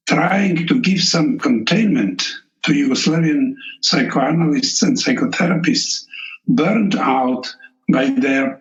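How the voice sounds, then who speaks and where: Male psychoanalyst, Slovenian native from Italy; measured, unhurried delivery over muffled audio. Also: on the high side. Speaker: psychoanalyst guest. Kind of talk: recorded interview answers.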